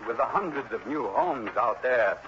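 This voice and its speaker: Through the hiss of a dull old radio recording comes a pompous, penny-pinching insurance executive played by a man. He stammers and blusters in a medium-pitched voice, fussy and easily affronted, in early 1960s radio drama style. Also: animated, normal speed, a bit bright, close to an everyday voice. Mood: blustery, insistent. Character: fussy, flustered, tight-fisted male insurance executive.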